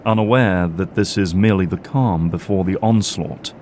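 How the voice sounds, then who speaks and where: A man's voice: low voice, moderate pace, darkish tone, slight background noise. A man delivering grave, florid scripted narration for an audio drama.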